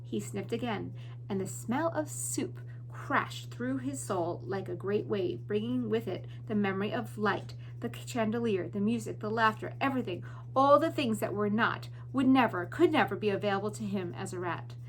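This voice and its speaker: A woman teacher giving class announcements and reading a story aloud with deliberate, expressive emphasis. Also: mid-range voice, slight background noise, rough recording. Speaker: elementary school teacher.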